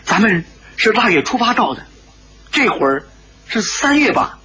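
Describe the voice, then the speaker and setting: A man voicing a naive, earnest young hero in a dramatised wuxia story, pleading in an anxious, tearful, high-strung way. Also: very animated, moderate pace, bright, forceful tone, lo-fi sound, exaggerated voice.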